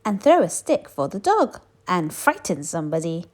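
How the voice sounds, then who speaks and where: A woman's voice: on the low side, sing-song, moderate pace. A woman voicing a little male skeleton from a children's storybook, eager and mischievous.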